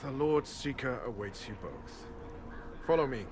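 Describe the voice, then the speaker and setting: A man's voice: medium pitch, animated, natural voice. Man playing an earnest young knight, noisy ambience. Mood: formal. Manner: formal, measured. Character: earnest, dutiful young male Templar knight. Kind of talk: video game cutscene acting.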